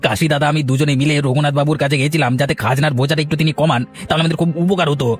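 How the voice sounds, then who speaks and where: A man's voice: a little high, fairly even intonation, rapid-fire, soft background music, close to an everyday voice. A man playing a humble, aggrieved young peasant farmer in a cartoon, earnest and pleading. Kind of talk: Bengali cartoon dialogue acting.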